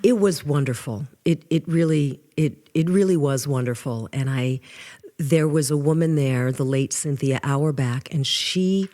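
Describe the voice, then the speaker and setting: An older woman from New with a low voice, telling lively stories as a guest in a casual interview. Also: unhurried. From New York. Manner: animated storyteller, restarts often. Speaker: guest musician.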